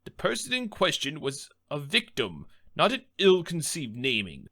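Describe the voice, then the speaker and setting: A man voicing a stern but patient older courtroom judge in a game script, formal and measured. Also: a little high, huge pitch swings, pushed and bright, full character voice.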